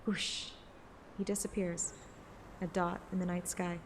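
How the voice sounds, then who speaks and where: Low-voiced woman narrating a story. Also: level tone, measured pace, a little hiss or hum. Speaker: audiobook narrator and streamer. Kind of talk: scripted present-tense story narration. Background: music.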